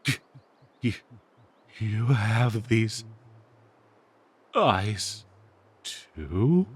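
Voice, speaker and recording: Slow, low, possessive lovesick cursed dagger played by a man. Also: slightly rough, exaggerated voice. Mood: pitying, devoted. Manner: slow, theatrical, doting yet menacing, halting with hesitations. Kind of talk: audio roleplay monologue addressed to the listener.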